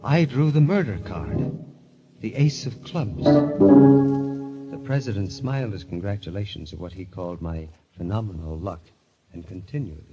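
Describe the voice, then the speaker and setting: A man's voice: fairly low pitch, unhurried, natural voice. Man acting a grim, haunted gambler, muffled radio sound. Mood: grim, tense. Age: young adult. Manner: grave, intense, dramatic. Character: bitter, haunted young gambler turned murderer, narrating his story. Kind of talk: old-time radio drama narration and acting. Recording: muffled vintage radio recording, music behind.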